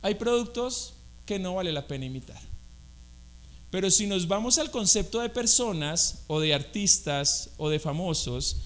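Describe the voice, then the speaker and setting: High-voiced Colombian man preaching. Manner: conversational, animated, asks the congregation questions. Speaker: guest preacher. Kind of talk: sermon. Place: church.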